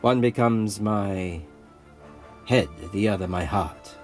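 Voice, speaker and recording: An actor playing a grandiose, idealistic rebel leader who narrates an audio comic drama in a theatrical, deliberate way. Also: male voice, fairly low pitch, soft background music.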